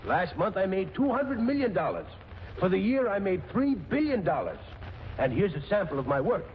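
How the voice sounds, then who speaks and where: An actor playing a brash, exasperated boss in a 1940s radio comedy. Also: male voice, high voice, big pitch swings, normal speed, long reverb tail. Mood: boastful. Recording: muffled, noisy 1940s radio broadcast.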